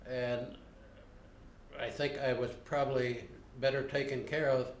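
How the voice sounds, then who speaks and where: Older man, interviewee; plainspoken and unhurried, recorded on a roomy camera mic. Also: medium pitch, flat delivery, slight background noise. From United States. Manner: unhurried, plainspoken, frequent uh pauses. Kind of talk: unscripted recollection. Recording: roomy home video recording.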